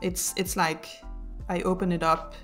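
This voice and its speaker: A Danish woman with a Danish accent, talking through her build in a casual voice-over at home, halting with many ums and self-deprecating laughs. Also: fairly low pitch, flat delivery, a bit bright, faint music behind. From Denmark. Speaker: YouTuber narrating a build video.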